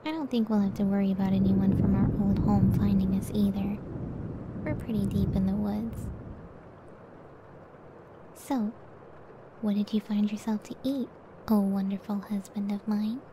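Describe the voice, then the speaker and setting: A woman playing a gentle, affectionate cyclops giantess wife in an ASMR roleplay, speaking softly and soothingly close to the mic. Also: mid-range voice, steady tone, normal speed, long pauses, slight background noise, close to an everyday voice, poor audio. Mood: tender, caring. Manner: soft, breathy, tender, soothing. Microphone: close ASMR microphone.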